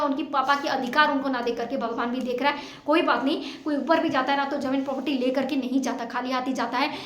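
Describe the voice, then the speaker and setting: A woman's voice: sits high, a bit bright. A woman giving informal opinion commentary to viewers in an emphatic, indignant way, often posing rhetorical questions. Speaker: vlogger commenting on a family dispute. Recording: echoey untreated room sound.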